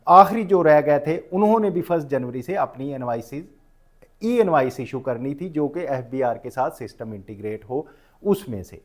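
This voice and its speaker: A man's voice: fairly high pitch, darkish tone. A man with a Pakistani accent giving an informal explanatory lecture, mixing in English terms and restating his points in an instructive way. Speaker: tax professional giving a lecture.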